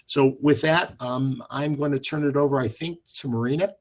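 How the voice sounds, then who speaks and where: A man moderating a panel over a video call, halting, with many fillers as he hands over to a speaker. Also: medium pitch.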